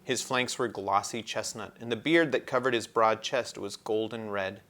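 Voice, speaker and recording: A man's voice: lowish voice, moderate pace, fairly bright tone. A man narrating a children's storybook as a read-aloud. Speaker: storybook reader.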